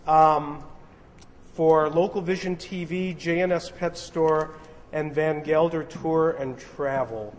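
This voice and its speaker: A man's voice: a little high, steady tone, slow. Informal man addressing a group, echoey hall. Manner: informal, joking. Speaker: director leading a radio play rehearsal. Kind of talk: casual announcements to a group. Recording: noisy, echoey hall room recording.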